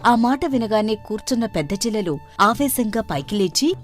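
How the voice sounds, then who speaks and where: A woman's voice: a little high, lively intonation, moderate pace, faint music behind. A woman narrating a scripted moral story as its storyteller.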